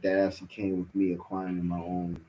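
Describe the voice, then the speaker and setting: A man's voice: low-pitched, completely flat delivery, a little fast, lo-fi sound. A man, a rapper guest talking in a laid-back way with loose slang in a studio interview. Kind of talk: casual interview.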